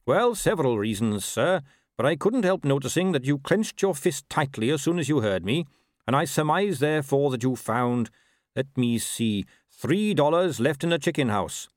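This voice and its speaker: A man voicing a polite, formal horseman with calm menace, in a storybook reading. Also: medium pitch, moderate pace, no echo, studio quality, pitched above the performer's own voice, lightly acted. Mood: politely probing. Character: courteous, calmly menacing young horseman, earnest and deliberate.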